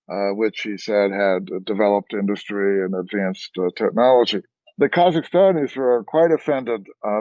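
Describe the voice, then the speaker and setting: An older man, a former ambassador, speaking as a guest on a policy panel in a measured way, pausing often with "uh". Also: medium pitch, a little mellow, no echo, dull audio. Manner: measured, many "uh" pauses. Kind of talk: expert panel discussion.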